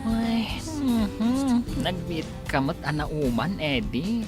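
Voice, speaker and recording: A woman's voice: fairly low pitch, expressive, over music. A woman playing a coy, tipsy temptress in an Ilocano radio drama, drawing out her words flirtatiously. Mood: tipsy, wheedling. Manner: drawn-out, coy, swooping.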